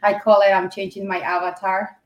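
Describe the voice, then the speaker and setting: A woman, talking casually with a podcast host. She often stops to hunt for the right word and laughs quickly. Her mid-range voice bounces around an echoey room. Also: medium pitch, flat delivery. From Colombia. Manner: chatty, searching for words, quick laughs. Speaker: guest, pro wrestler. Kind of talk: casual interview chat.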